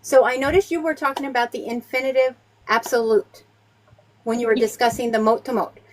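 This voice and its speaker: A woman with a fairly high voice questions two debaters on a live stream. She presses a technical grammar point and keeps talking over the others. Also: fairly even intonation, a little fast, slight background noise, poor audio.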